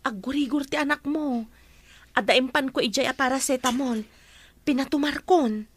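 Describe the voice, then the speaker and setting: Woman acting high-voiced, caring Ilocano friend. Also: moderate pace, slight background noise, close to an everyday voice. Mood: concerned. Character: caring, sympathetic young female friend. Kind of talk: radio drama acting.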